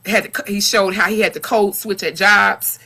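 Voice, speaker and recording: A woman with a deep voice, speaking earnestly in a casual podcast discussion and often repeating words as she finds her point. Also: steady tone, normal speed, bright, forceful tone. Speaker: podcast panelist.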